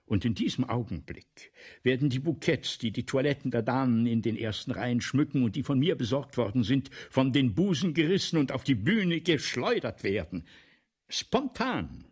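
An actor in a German radio play, playing a vain, scheming, imperious celebrated writer with pompous, theatrical, lecturing speech. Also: male voice, medium pitch, expressive, moderate pace, husky. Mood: smugly scheming.

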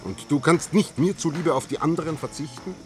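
An actor playing a stubborn, defiant scientist husband in a German science-fiction radio drama, earnest and argumentative. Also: male voice, fairly high pitch, brisk, faint music behind, close to an everyday voice. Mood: stubborn, hurt.

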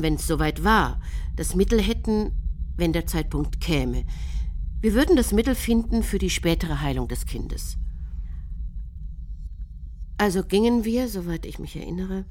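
An actress playing a halting woman who searches her memory and repeats herself in a radio drama monologue, with a breathy voice. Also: on the low side, a little mellow, slight background noise. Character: halting woman recalling experiments on children.

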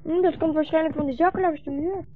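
A woman's voice: extremely high pitch, fairly even intonation, fast. A woman playing a tired, earnest, polite thirteen-year-old boy in a 1960s radio play. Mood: curious. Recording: dull 1960s radio recording, music behind.

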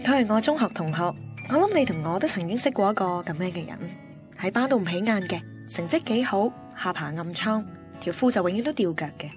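An actress playing a driven, blunt young radio assistant with a Hong Kong Cantonese accent, in a radio drama. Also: female voice, mid-range voice, expressive, fast talker, over music, natural voice. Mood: wry narrating. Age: young adult. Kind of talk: radio drama narration and banter.

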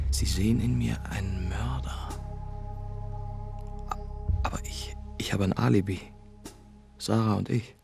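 An actor playing a bewildered, troubled man suspected of murder, narrating in a halting, brooding and often hushed way in a radio drama. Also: deep voice, vocal fry. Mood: dawning dread. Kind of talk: radio drama first-person narration and scenes.

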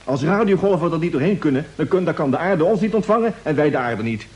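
A man's voice: a little high, lively intonation, quick pace, a little mellow, close to an everyday voice. Man playing a plain-spoken spaceship radio operator. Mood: exasperated. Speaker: actor in a radio drama. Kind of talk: Dutch radio play acting, mid-century.

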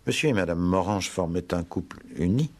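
An actor in a 1960s French radio play as a courteous, patient police inspector who questions politely but insistently. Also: male voice, deep voice, lively intonation, brisk, darkish tone. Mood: courteous, probing.

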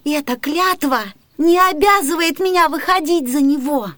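A woman's voice: high voice, normal speed, a bit bright, dry recording. An actress playing a melodramatic young noblewoman in a classic comedy radio play, plaintive and theatrical. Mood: defensive.

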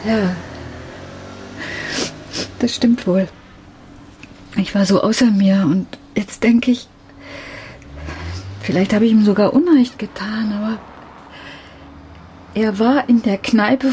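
An actress playing an embattled schoolteacher of about forty in a German radio crime drama, emotional and easily indignant. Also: female voice, a little high, takes it slowly, long pauses, dark tone, slight background noise. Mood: subdued. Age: middle-aged. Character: embattled, harassed female schoolteacher of forty, desperate and agitated.

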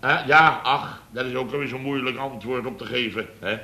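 Actor as a sharp, blustering, muddled company boss. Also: male voice, medium pitch, normal speed, close to an everyday voice. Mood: grumbling. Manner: rambling, repetitive, tags phrases with "hè". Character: blustering, muddled male boss of a building firm. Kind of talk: 1970s Dutch radio comedy acting.

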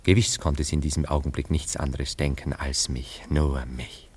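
A dreamy man of fin de siècle society with a deep, low voice. He speaks in polished, theatrical phrases, self-absorbed and romantic, in the manner of a literary radio play. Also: fairly even intonation, normal speed, a little mellow, slightly rough. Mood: rapturous, wistful.

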